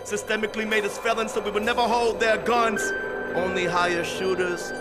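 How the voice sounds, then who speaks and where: A man's voice: high-pitched, level tone. A man in his thirties rapping a dense, defiant verse on a recorded track. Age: 30s. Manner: dense rapid-fire rhymes, defiant. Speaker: rapper. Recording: vocal over loud music.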